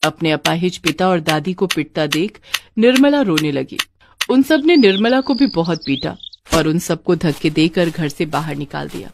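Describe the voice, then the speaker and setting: A woman's voice: on the low side, background noise. A woman narrating a scripted cartoon story as a storyteller.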